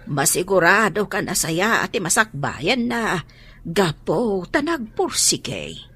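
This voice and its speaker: Protective Ilocano mother, radio drama. Forceful, highly animated delivery, worried and exasperated. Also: takes it slowly, slightly rough, no room sound, full character voice. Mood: concerned. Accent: Filipino, Ilocano.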